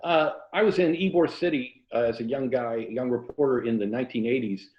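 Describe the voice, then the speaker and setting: A mature man with a mid-pitched voice speaks in a casual, reflective storytelling way as an interview guest. The sound is roomy and slightly dull, like a lo-fi call. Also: fairly quick. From Tampa, Florida.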